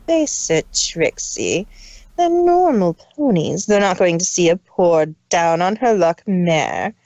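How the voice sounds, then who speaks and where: A woman's voice: very animated, a little mellow, dry recording, full character voice. Woman as cold, cruel scheming pony sister. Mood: scornful. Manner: low, deliberate, ice-cold delivery.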